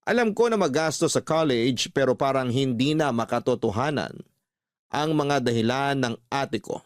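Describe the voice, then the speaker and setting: A man with a Filipino accent narrating a first-person radio story in a calm, measured way. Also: medium pitch, no room sound, studio quality.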